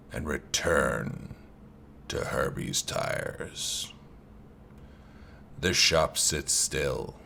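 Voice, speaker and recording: Deep, slow, gravelly male horror narrator. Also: bright, forceful tone, slight background noise. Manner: slow, dramatic horror reading.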